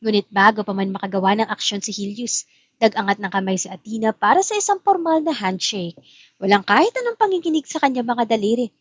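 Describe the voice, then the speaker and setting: A woman's voice: mid-range voice, lively intonation, brisk, dry recording, highs cut off. A woman as narrator, reading a scripted love story in dramatic style. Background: music.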